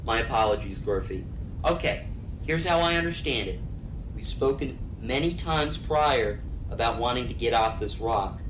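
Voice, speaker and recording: A man's voice: expressive, normal speed, fairly bright tone, natural voice. A man playing a brash, reckless leader of a space crew, blunt and commanding, in an amateur sci-fi comedy audio drama. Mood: exasperated, deliberate. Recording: echoey room, clear rumbling background noise.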